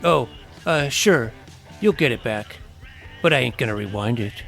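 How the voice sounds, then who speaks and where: An actor playing an eager, suspicious, jumpy twelve-year-old boy vampire hunter in an audio drama, with a creaky edge to the voice. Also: medium pitch, expressive, measured pace, fairly bright tone, soft background music. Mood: nervous, defiant.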